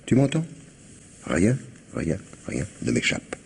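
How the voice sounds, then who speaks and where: A sardonic, mocking husband. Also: male voice, very deep voice, wildly swooping pitch, slow, gravelly, a little mellow, a little hiss or hum, full character voice. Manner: mocking, needling, theatrical laughs. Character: sardonic, suspicious husband, middle-aged. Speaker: actor in a radio drama. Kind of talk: vintage French radio drama acting.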